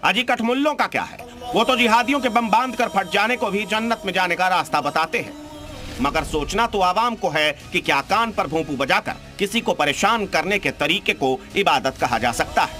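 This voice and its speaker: A man's voice: sits high, lively intonation, moderate pace, bright, forceful tone, faint music behind. A male news anchor reading a pointed, rhetorical scripted voice-over.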